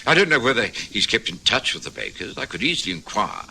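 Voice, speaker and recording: Genial gentleman doctor, very bright voice. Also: fairly low pitch, wildly swooping pitch, quick pace, gravelly, slight background noise, exaggerated voice. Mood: courteous, helpful. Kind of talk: radio drama narration and dialogue.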